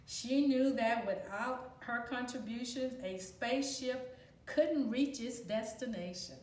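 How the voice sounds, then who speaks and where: Woman reading a kids book out loud, slow n explainy, slightly high voice, roomy echo. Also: slight background noise. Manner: deliberate, explanatory, addressing young students. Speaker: volunteer storybook reader. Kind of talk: children's picture book read-aloud with teacherly asides. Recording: roomy echo, distant microphone.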